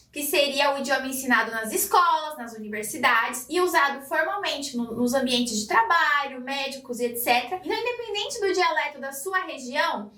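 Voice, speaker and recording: A woman from São Paulo with a Paulista accent, teaching a language lesson clearly and directly to the viewer. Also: very high-pitched, pushed and bright, reverberant, far from the mic. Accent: Paulista Brazilian Portuguese. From Brazil (São Paulo). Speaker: German teacher. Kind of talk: explanatory online lesson.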